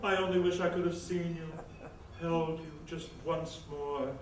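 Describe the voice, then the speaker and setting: Grandiose male theater producer, played by a man, hammy and scheming, slow delivery, distant stage mic. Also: a little high, fairly even intonation, lightly acted. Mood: feigned dying. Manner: hammy, drawn-out pleading. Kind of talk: staged reader's theatre comedy. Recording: roomy stage recording from afar, faint noise.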